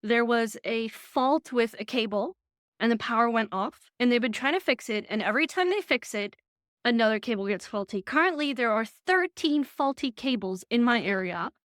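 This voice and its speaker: A young woman chats straight to camera from her living room, animated and full of asides. Her voice sits a little high and bright in a dry, close recording. She sounds like she is getting over an illness. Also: dry recording. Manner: chatty, animated, quick asides. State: recovering from illness. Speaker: vlogger and design lecturer. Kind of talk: chatty casual vlog update.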